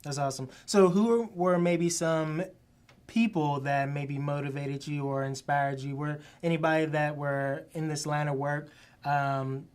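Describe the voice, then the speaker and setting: A male host with a fairly high voice leads a relaxed interview. He sounds encouraging, agrees readily, and asks loose, open questions full of "maybe". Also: measured pace, clear, resonant tone. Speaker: podcast host. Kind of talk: casual interview.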